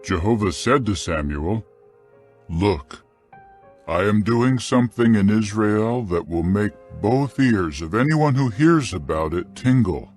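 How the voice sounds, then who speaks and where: A man narrating dramatized scripture in a solemn, measured, clearly phrased manner. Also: on the low side, expressive, a little mellow, soft background music.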